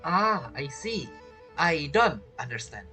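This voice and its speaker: Casual gameplay commentator: animated intonation, playful rambling, light game music underneath. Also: medium pitch, slow. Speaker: game streamer. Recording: faint game music behind the voice.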